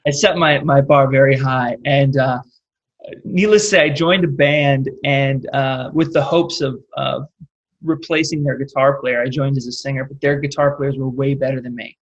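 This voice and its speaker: A man's voice: medium pitch, fairly even intonation, moderate pace, dull audio. A man in his late twenties with a Californian accent, chatting casually as an interview guest in a rambling, filler-heavy way. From California, United States. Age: late 20s. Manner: rambling, peppered with "like" and "you know". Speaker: interview guest, rock singer.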